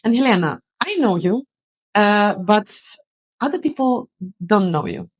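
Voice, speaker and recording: A woman hosting an interview podcast as a coach, speaking haltingly with frequent restarts. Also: medium pitch, sing-song, breathy edge. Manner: warm, halting, many restarts and fillers.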